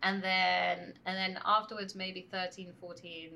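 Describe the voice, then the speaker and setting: Gravelly-voiced woman guest, hesitant. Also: fairly low pitch, little pitch movement, a little slow, pushed and bright. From France. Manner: thoughtful, many hesitations and restarts. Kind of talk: conversational interview. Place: conference venue. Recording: faint background ambience at a summit.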